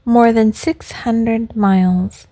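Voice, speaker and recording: A woman voicing a large, helpful male pigeon in a storybook, with a measured, matter-of-fact manner. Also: on the high side, fairly even intonation, warm tone, no echo, rough recording. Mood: calm, informative. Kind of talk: storybook character voice.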